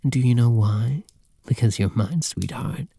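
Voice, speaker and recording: A man's voice: mid-range voice, normal speed, vocal fry, breathy edge, dry recording, studio quality. A man playing a possessive, doting, dominant master and boyfriend in an intimate, teasing ASMR roleplay. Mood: possessive, intense. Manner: teasing, soft, intimate, commanding, at times whispering.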